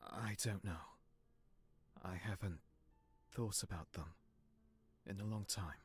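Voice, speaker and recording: A man playing a guarded, heartbroken young nobleman in a visual novel, speaking in an earnest, measured way. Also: deep voice, husky, full character voice. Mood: wistful. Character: heartbroken young nobleman, guarded, wounded, confiding in his servant. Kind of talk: visual novel character acting.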